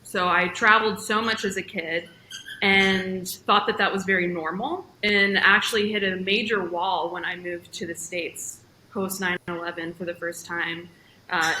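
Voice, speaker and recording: A woman in her twenties who grew up abroad, a journalist guest telling her career story in a lively, quick way from her home. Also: medium pitch, reverberant, a little hiss or hum, poor audio. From grew up in Jordan and Ethiopia. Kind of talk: casual interview, storytelling. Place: at home, indoors.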